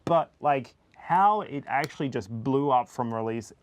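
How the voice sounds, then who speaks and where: A man's voice: mid-range voice, animated, measured pace. A man co-hosting a casual video game discussion in a conversational way. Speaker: show co-host.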